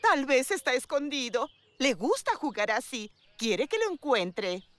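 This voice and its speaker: High, swooping, fussy cartoon mother. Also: big pitch swings, unhurried, a bit bright, dry recording, heavily acted. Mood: coaxing. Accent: Latin American. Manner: theatrical, exclaiming. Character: fussy, dramatic, doting middle-aged mother. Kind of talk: animated children's cartoon dub. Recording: music behind the voice.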